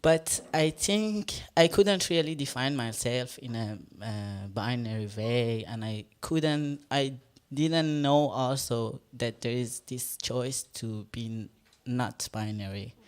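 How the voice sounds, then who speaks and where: A performance artist whose first language is Farsi, speaking English on a live panel, often pausing and searching for words. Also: mid-range voice, smooth. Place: stage talk before an audience, no microphones.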